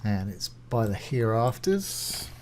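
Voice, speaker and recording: Man presenting a casual software tutorial. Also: on the low side, big pitch swings, slow, slight background noise. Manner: informal, reassuring, explains each step as it is done. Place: voice-over of a screen recording.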